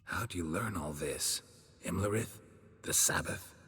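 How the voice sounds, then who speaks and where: Male lead for fantasy game: laconic North American monster-hunter, extremely low gravelly voice, dry and fatherly, long reverb. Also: unhurried, full character voice. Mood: groggy, puzzled. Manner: terse, deadpan. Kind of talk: video game cutscene acting.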